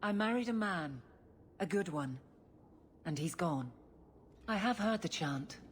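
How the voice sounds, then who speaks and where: A woman's voice: on the low side, animated, unhurried, slight background noise. A woman voicing a steadfast, blunt guardswoman in a video game, speaking in an earnest, plain, firm way. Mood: somber, resolute.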